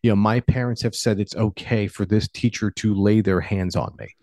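An American man co-hosting a podcast, giving earnest, deliberate opinions on the news in a conversational way. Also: fairly low pitch, fairly even intonation, warm tone, no echo. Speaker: podcast co-host.

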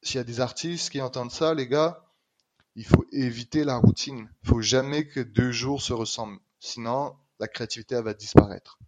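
A middle-aged man from Réunion with a Réunionese French accent tinged with Québécois, talking in an emphatic, lively way and repeating words for stress. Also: mid-range voice, moderate pace. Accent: Réunionese French with some Québécois color. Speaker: guest. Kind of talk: casual conversation.

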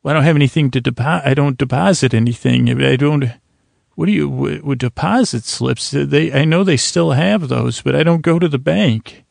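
Man, creaky fry, dry close sound, lulling sleep-podcast host, mild-mannered, halting, meandering monologue. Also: on the high side, a little mellow, no room sound. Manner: meandering and halting, stammers and breaks off mid-thought.

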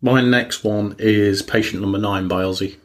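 A man co-hosting a casual music podcast, often halting and searching for words. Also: on the low side, fairly even intonation. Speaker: podcast co-host.

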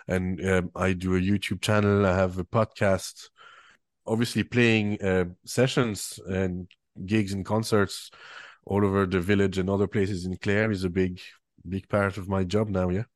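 Musician guest, male, French Swiss accent, lowish voice, dry close sound, halting and full of "uh"s. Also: flat delivery, no echo. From French-speaking Switzerland. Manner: hesitant, many fillers and restarts. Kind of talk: relaxed interview chat.

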